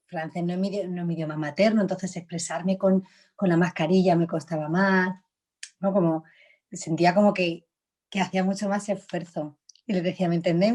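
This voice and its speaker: A woman's voice: on the low side, darkish tone. Chatty woman. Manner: reflective, laughs often, frequent fillers. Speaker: actress, interview guest. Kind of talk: relaxed conversational interview answers.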